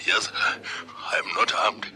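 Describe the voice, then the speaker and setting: Casting: shaken old night watchman, radio play. Stammering, piercing, forceful edge, slightly hissy old recording. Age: older. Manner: stammering, halting. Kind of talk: radio drama acting. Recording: harsh, slightly noisy vintage radio recording.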